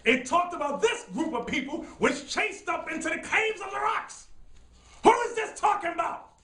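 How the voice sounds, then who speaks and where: A man preaching and teaching from scripture in a fiery style, shouting and repeating phrases as he directs a reader. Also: very high-pitched, animated, moderate pace, gravelly, far from the mic. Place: studio set with dark backdrop. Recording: roomy sound from off-mic.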